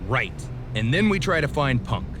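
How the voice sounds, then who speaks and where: A man acting as a weary, serious pro wrestler in a video game cutscene. His mid-pitched voice is dry, measured and exasperated. Clear ambient noise sits behind him. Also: big pitch swings, close to an everyday voice. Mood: dry, resolved.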